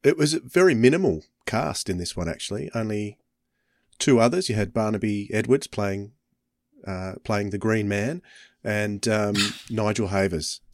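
A man's voice: on the low side, moderate pace, dry recording, clean studio sound. A man co-hosting a podcast, asking questions in a casual conversational way. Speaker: podcast co-host interviewer. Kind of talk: casual interview chat.

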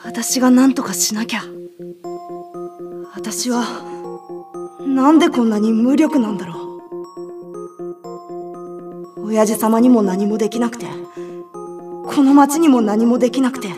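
A woman's voice: a little high, a little slow, long pauses, over music, natural voice. A woman playing an earnest, dutiful young girl who blames herself, in an audio drama. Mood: despairing. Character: young girl, dutiful, anxious, self-blaming lantern bearer. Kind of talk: Japanese audio drama acting.

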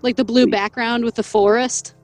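A woman's voice: a little high, fairly even intonation, normal speed, darkish tone, dry recording, faint music behind. A woman co-hosting a podcast, reading from an article in a casual, chatty way, laughing often and stumbling over names. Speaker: podcast co-host.

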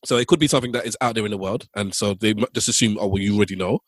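A man's voice: on the low side, racing, dry recording. A man co-hosting a podcast, chatting casually and often repeating words as he restarts his sentences. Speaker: podcast co-host. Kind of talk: casual chat.

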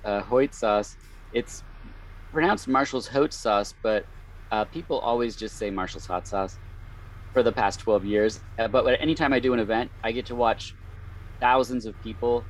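A man with a slightly strained, hoarse voice, telling wry anecdotes as a podcast guest. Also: mid-range voice, slight background noise, poor audio. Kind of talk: casual chat, anecdotes.

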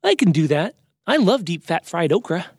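A man's voice: high voice, sing-song, moderate pace, very noisy, pitched above the performer's own voice. A man voicing an eager, childlike young male bear in a children's story, goofy and rambling. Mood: enthusiastic. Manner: over-enthusiastic, rambling, goofy.

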